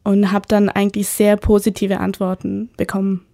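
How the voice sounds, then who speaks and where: A woman's voice: mid-range voice, completely flat delivery, normal speed, creaky, dark tone, no room sound. Woman, 20s, from Pakistan, student guest, hesitant, dry close sound. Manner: hesitant, many fillers. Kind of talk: radio interview. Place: radio studio.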